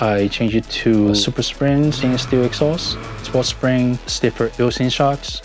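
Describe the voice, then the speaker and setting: A man's voice: medium pitch, a little mellow. A middle-aged man from Hong Kong with a Hong Kong accent, telling his story reflectively as a car enthusiast in a documentary interview. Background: background music.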